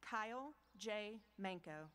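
A woman dean reading out graduates' names slowly and formally at an outdoor commencement ceremony, speaking into a podium microphone. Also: mid-range voice, lively intonation, a bit bright, smooth. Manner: slow, measured, pausing between names.

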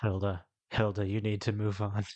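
A man's voice: on the low side, monotone, moderate pace, a little mellow, muffled recording. A man bantering casually as a player on a tabletop game podcast, with wry, dry humour.

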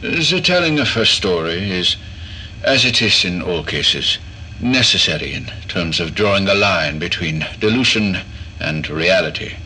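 A cold, eccentric doctor recording experiment logs with clipped clinical detachment. His voice is sharp and tense, fairly low, yet swings widely in pitch. A faint hiss sits under it. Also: lively intonation, a little slow, heavily acted, low-quality recording. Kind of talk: horror audio drama acting.

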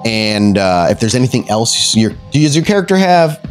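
A man's voice: medium pitch, big pitch swings, normal speed. A man narrating a tabletop roleplaying game as the game master. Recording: music behind the voice.

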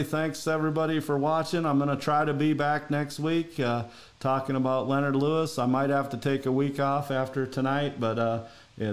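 A middle-aged man from Minnesota with a Minnesotan accent, hosting a podcast in casual, rambling talk from home over a video call. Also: mid-range voice. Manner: rambling, folksy, many fillers. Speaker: podcast host. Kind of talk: casual interview chat.

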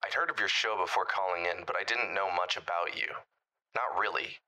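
An actor playing a bitter caller who survived a monster attack, recounting it grimly in an audio drama. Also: male voice, deep voice, brisk, sharp tone, rough recording. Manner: grim storytelling, bitter.

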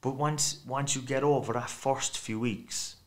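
A man's voice: mid-range voice, measured pace. A man in his thirties with a thick Cork Irish accent, a guest telling stories in a casual podcast chat in a small studio, talking into a desk mic. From Ireland. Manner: chatty, animated storytelling with many restarts. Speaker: guest expert. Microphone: desk mic on stand.

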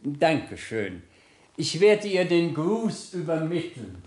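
Stiff, pompous old manservant. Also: male voice, a little high, very animated, slow pace, a little mellow, slight background noise. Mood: reverent, formal. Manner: formal, deferential, pompous. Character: stiff, reverent old male servant of a countess. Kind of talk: 1960s radio play acting.

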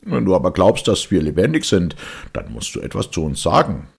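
A man's voice: deep voice, very animated, brisk, creaky, slightly rough, pitched above the performer's own voice, heavily acted. A man voicing a fat little twin, smugly logical and pedantic, in a storybook reading.

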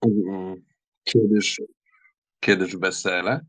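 Proud, courteous young nobleman acted by a man for audiobook dialogue, unhurried and fairly low. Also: fairly even intonation, exaggerated voice, poor audio. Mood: curious. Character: proud young German count, courteous and ironic.

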